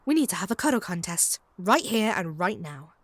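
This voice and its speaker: A woman's voice: medium pitch, big pitch swings, normal speed, fairly bright tone, no room sound. A woman playing a possessive, haughty female vampire in a comedic roleplay audio drama, taunting and doting in a theatrical way. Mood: indignant.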